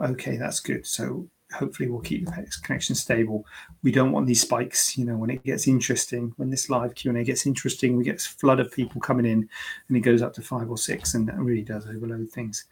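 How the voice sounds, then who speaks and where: A man's voice: mid-range voice, gravelly, slightly rough. A man, a plastic surgeon, hosting a live-streamed question and answer session in a chatty, joking way, explaining medical advice in plain terms. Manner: chatty, joking, self-deprecating asides. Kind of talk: live-streamed Q&A, casual chat.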